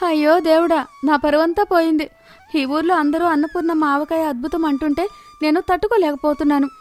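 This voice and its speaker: Jealous older village matriarch, played by a woman, high voice, exclamatory and aggrieved, for a moral story cartoon. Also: steady tone, fairly quick, darkish tone, soft background music, close to an everyday voice. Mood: wailing despair. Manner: wailing, exclamatory complaining. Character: jealous, loud, self-pitying older village mother-in-law. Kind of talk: animated moral story voice acting.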